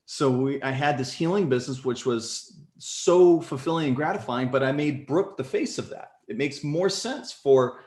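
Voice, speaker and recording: A man in his forties telling his story as a podcast guest in casual conversation, animated and leaping up in pitch when excited. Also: normal speed, few pauses. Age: 40s. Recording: echoey room.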